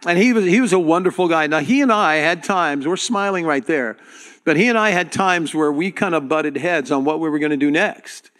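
A man preaching to his congregation as their pastor, speaking earnestly and directly with halting restarts. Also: a little high, fairly bright tone. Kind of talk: sermon.

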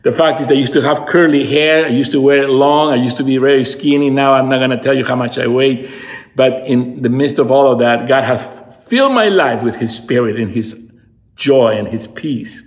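A man in his sixties, from Peru, speaks in an earnest devotional preaching style. His voice sits at a middle pitch and often climbs to fervent emphatic peaks. The sound carries the echo of a reverberant room. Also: normal speed. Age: 60s. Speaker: pastor. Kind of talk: devotional sermon. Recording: echoey room.

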